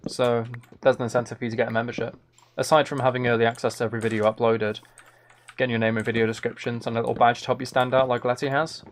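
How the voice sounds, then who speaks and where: Man, mid-range pitch, casual game streamer chat, dry joking asides, background noise with key clicks. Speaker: live streamer. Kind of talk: casual live gaming stream chat with viewers. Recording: clear noise, keyboard and mouse clicks.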